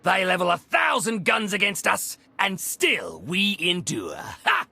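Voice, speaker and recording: Gravelly, proud clan warrior. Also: male voice, high voice, big pitch swings, unhurried, pushed and bright, no echo, full character voice. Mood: proud, fierce. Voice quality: gravelly, raspy. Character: proud, hot-blooded male Mandalorian clan warrior. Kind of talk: video game voice acting.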